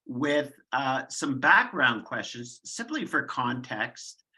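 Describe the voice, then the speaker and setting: Bright-voiced hesitant male interviewer. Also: mid-range voice, sing-song, slow pace. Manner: hesitant, repeats words, formal phrasing. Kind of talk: interview questions. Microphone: video call.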